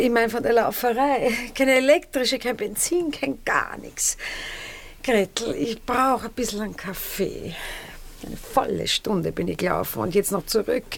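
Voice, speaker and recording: An actress playing a chatty, complaining, self-important visitor in a radio play, with an Austrian accent. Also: female voice, on the high side, vocal fry, fairly bright tone, a little hiss or hum, heavily acted.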